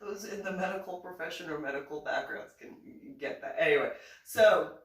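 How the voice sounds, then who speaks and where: A woman's voice: fairly low pitch, fairly quick, distant mic. A woman veteran telling a personal story in a casual monologue, chuckling often and acting out the other people in it. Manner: animated, chuckles often, acts out other voices. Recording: echoey room, voice off-mic.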